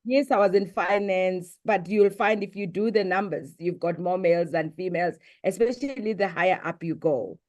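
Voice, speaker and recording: A middle-aged South African woman whose first language is Sepedi, answering interview questions as a business executive in a measured, composed way. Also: fairly low pitch, a little mellow.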